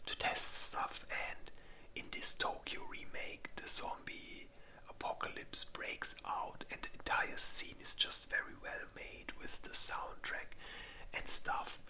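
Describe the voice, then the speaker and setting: Slow, whispered ASMR host. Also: sharp tone. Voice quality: breathy unvoiced whisper. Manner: informal, rambling, swears casually. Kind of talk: whispered ASMR, casual ranking commentary.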